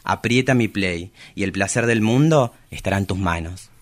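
A man's voice: on the low side, normal speed, lightly acted. A man playing a longing, seductive travesti poet in an intimate radio drama monologue. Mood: sensual. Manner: confessional, seductive.

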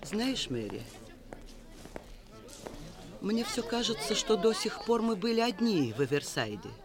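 Spirited, lively village wife. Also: female voice, mid-range voice, measured pace, a crowd in the background. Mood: wistful. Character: spirited, plain-spoken working village wife. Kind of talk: 1960s Soviet radio drama acting.

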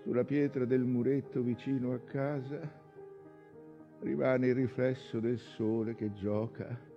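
An actor playing an intense, wistful man recalling his youth in a hushed, halting, intimate theatrical monologue. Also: mid-range voice, flat delivery, muffled recording, soft background music, lightly acted.

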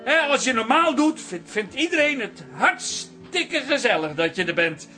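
A man's voice: very high-pitched, sing-song, normal speed, pushed and bright, soft background music, full character voice. An actor playing a cheeky, tactless young man in a radio drama, blunt and rambling. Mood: blunt reassuring.

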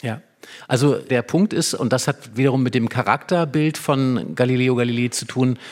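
A man with a mid-pitched voice speaks as a guest in a literary discussion. He explains analytically and with emphasis, often setting up rhetorical questions as he develops his thought.

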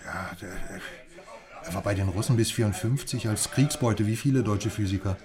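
A man's voice: fairly low pitch, moderate pace, lightly acted. Earnest persuasive man acted amid crowd noise. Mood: confiding, impressed. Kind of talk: radio crime drama dialogue.

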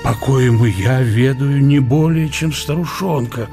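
An actor playing a brooding middle-aged Russian scientist of the eighteenth century in a radio drama, reflective and declamatory, with archaic, bookish diction. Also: male voice, mid-range voice, measured pace, warm tone, soft background music, close to an everyday voice. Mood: bitter musing.

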